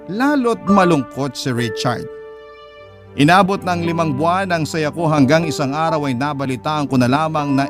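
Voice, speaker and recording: A man with a Filipino accent narrating a story dramatically in the first person, as a radio storyteller. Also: on the high side. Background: music bed.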